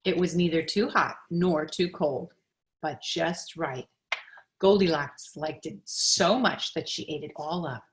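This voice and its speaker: A woman reading a children's picture book aloud in an expressive, deliberate storytelling manner. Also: deep voice, poor audio. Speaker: storybook reader.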